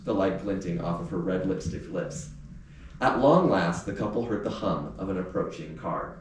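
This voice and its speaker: Animated man in a very echoey room. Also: on the low side. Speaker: author reading his book at a release event; teacher. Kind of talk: informal live event talk and story read aloud. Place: indoor venue with a small live audience. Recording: very echoey room, distant mic, faint noise.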